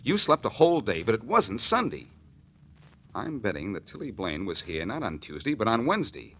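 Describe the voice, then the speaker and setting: Punchy, swooping American radio detective. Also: male voice, lowish voice, very animated, normal speed, breathy edge. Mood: explaining, insistent. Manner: emphatic, punchy, accusing delivery. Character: confident adult male amateur detective, brisk and needling. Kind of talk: 1940s radio detective acting. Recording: muffled, noisy 1940s radio recording.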